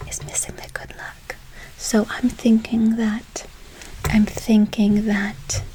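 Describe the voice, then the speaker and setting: A woman playing a gentle, doting witch in a whispered ASMR role play, slow and soothing with a breathy voice, repeating words softly. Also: medium pitch, a little hiss or hum, lightly acted, low-quality recording. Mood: soothing, encouraging. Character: gentle doting forest witch casting a spell. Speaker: ASMR performer.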